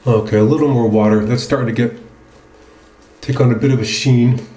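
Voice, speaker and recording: Male vlogger, medium pitch, casual unhurried review talk, roomy echo. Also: steady tone, warm tone. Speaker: presenter of a wet shaving video. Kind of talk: casual product review chat while shaving. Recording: roomy, echoing indoor sound.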